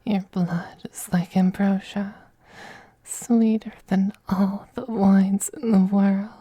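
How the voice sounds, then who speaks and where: Possessive femboy vampire noble played by an actor, ASMR intimacy: very mellow, slow, breathy whispers, tender yet domineering. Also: mid-range voice, fairly even intonation, dry recording. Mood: hungry, indulgent. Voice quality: breathy, soft, often whispering.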